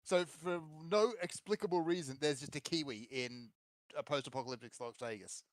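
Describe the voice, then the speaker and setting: A man's voice: a little high, a little fast, no echo. A man joking and teasing on a casual podcast. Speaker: podcast co-host. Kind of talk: casual chat.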